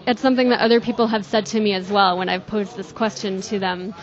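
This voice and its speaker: A woman podcast interviewer asking measured, polite questions and thanking her guest. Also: mid-range voice, fairly even intonation, lo-fi sound.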